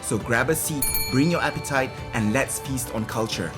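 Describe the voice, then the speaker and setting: A man hosting a podcast, talking in a playful, lively way. His voice sits at a middle pitch, and clear music plays behind him. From Malaysia. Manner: lively and playful, quick to laugh. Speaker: podcast host. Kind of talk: podcast intro and interview.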